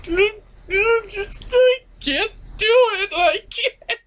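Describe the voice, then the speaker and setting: Man voicing a high-pitched, gushing puppet critic. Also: slow pace, fairly bright tone, heavily acted. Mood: tearful gushing. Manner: melodramatic, gushing.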